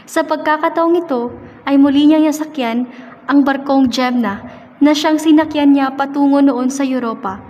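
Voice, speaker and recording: High-pitched woman narrator with hall reverb. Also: moderate pace, darkish tone. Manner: formal, read-aloud storytelling. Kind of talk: scripted radio drama narration. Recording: dull sound with hall reverb.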